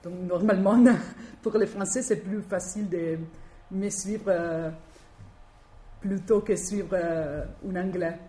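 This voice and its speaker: A woman from Italy speaking with a strong Italian accent, giving an academic talk and often pausing to search for words. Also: lowish voice, fairly even intonation, mellow. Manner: halting, searching for words with many fillers. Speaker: guest lecturer. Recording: echoey room, faint background noise.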